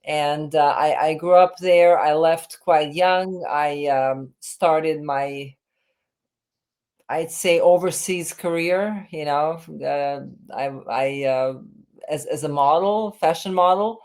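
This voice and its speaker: Low-voiced woman in her fifties. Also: slow, darkish tone. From Serbia. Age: 50s. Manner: hesitant, many fillers and restarts. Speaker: film director and writer, guest. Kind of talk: remote interview, reflective storytelling.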